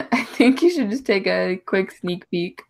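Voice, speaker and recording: Young female streamer, casual teasing banter, average pitch. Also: very animated, measured pace, vocal fry, slight background noise. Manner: teasing, joking, laughs often. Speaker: stream host. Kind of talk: casual chat.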